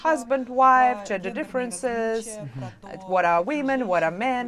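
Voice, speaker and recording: A woman hosting an interview, chatty and enthusiastic with many pauses. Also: a little high, lively intonation, a bit bright. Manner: chatty, enthusiastic, halting with fillers. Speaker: host. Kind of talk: interview chat.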